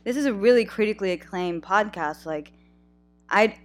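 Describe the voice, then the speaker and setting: A young woman co-hosting a casual podcast from a college dorm room, joking and rambling with deadpan sarcasm into a small headphone mic. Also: mid-range voice, moderate pace. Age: young adult. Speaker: podcast co-host. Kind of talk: casual chat. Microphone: small headphone mic on a phone.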